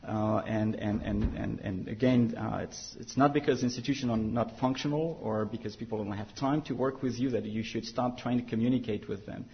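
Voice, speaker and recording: A man's voice: on the low side, steady tone, moderate pace, hardly any pauses, lo-fi sound, slight background noise. A man giving a conference panel talk, then answering a question, with many fillers and restarts. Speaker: panel speaker from an international agency. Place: conference hall.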